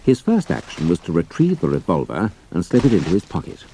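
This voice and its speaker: A man's voice: deep voice, huge pitch swings, fairly quick, mellow, a little hiss or hum, poor audio. A British man reading scripted narration for an adventure story.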